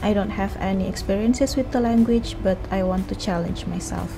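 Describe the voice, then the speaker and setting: A young woman from Indonesia, a beginner speaking haltingly on a video call with many pauses and fillers. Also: medium pitch. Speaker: language learner. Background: music.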